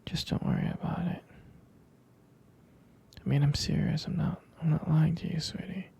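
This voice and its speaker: A man playing a sleepy, tender young boyfriend in an ASMR roleplay, murmuring soft, hesitant reassurance in a breathy, husky whisper. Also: fairly high pitch, steady tone, moderate pace, heavily acted. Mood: reassuring.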